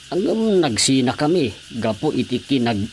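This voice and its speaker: Sheepish aging playboy villager, Ilocano accent, lively melodic delivery, eager confessions, radio drama, faint background noise. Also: male voice, mid-range voice. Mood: boastful, confiding. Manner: eager, rapidly repeated agreement, halting confession.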